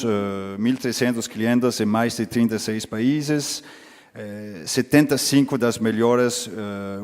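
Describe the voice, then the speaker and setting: A man speaking Portuguese as a second language, giving a conference presentation in a careful, halting way with frequent restarts. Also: medium pitch, darkish tone. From foreign, lived in Brazil as a teen. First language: not Portuguese. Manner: careful, halting non-native speech with restarts. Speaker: conference speaker, company vice-president. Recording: reverberant conference hall.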